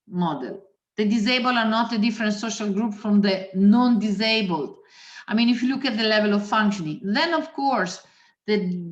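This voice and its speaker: A woman's voice: mid-range voice, fairly bright tone. A woman doctor, a native Italian speaker with an Italian accent, giving a methodical webinar lecture that lists points with frequent hesitations. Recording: roomy, dull lo-fi audio.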